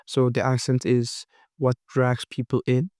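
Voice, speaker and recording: Guy with a cold doing a screen tutorial, monotone, clean voice, dry sound, lots of ums. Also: medium pitch, no room sound. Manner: unhurried, thinking aloud with frequent fillers and restarts. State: has a cold, voice cracking. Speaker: design course instructor. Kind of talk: screen-recorded software tutorial.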